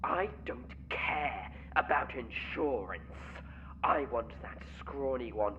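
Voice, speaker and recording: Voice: medium pitch, expressive, slow, highs cut off, slight background noise. An actor playing a vengeful, bloodthirsty henchman in an audio drama who talks in cold, seething whispers.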